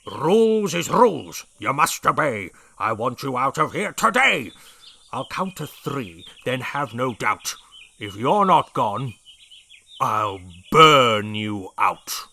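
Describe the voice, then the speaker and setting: A man's voice: mid-range voice, big pitch swings, takes it slowly, creaky, pushed and bright, no echo, full character voice. A man voicing a blustering, hot-tempered old male dinosaur brigadier who bellows and roars his orders. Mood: bellowing angry. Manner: bellowing, roaring.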